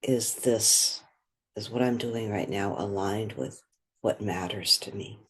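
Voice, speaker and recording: Slow, husky woman guiding meditation. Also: extremely low pitch. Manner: soft-spoken, pausing between short phrases. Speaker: meditation guide. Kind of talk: guided meditation.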